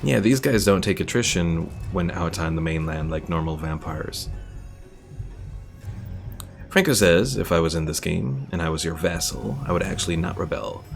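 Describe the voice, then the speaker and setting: A male gaming streamer with a deep voice full of creak. He talks casually through his play and reads viewers' messages aloud, with game music behind him. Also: expressive, normal speed. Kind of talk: casual live game commentary, reading out chat.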